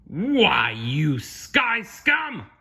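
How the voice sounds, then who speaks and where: A man voicing an angry, blustering cartoon pirate who shouts irascibly. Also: on the high side, wildly swooping pitch, very slow, vocal fry, voice pushed up, full character voice. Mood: furious.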